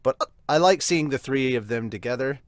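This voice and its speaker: A man's voice: medium pitch, expressive, moderate pace, dry recording. Male podcast host, casual chatty reaction, dry close mic. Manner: chatty, laughs, restarts. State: hiccups. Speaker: podcast host reviewing manga.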